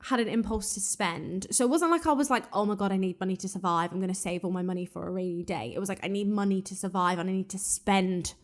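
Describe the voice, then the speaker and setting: A woman's voice: medium pitch, quick pace, few pauses. A woman in her twenties hosting a podcast, talking frankly and casually with lots of "like" fillers, her accent said to carry a faint northern twang. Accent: southern English with a slight northern twang. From England and Ireland. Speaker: podcast host. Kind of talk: casual solo chat.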